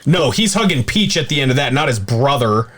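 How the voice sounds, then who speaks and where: A man's voice: mid-range voice, sing-song, brisk, fairly bright tone. A man chatting casually as a podcast co-host, with a blunt, joking, slangy way of talking. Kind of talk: casual chat.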